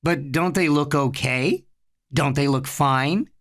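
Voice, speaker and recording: A woman's voice: deep voice, slow pace. A woman voicing an impatient older brother. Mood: coaxing. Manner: impatient, coaxing.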